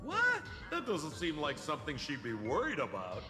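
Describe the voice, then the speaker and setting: A man's voice: on the high side, wildly swooping pitch, normal speed. A man voicing a cocky, chummy young male trickster in a video game, teasing and theatrical. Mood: surprised. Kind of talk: video game character voice acting. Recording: echoey, loud music behind.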